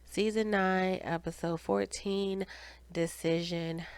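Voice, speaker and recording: A woman's voice: lowish voice, steady tone, takes it slowly, smooth, no echo. Stuffed-up nasal woman doing a chatty show recap, takes her time, dry close sound. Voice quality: nasal, congested. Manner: chatty, conversational, addressing viewers directly. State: congested with cold or allergies. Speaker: video reviewer and channel host. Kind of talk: casual reality TV recap.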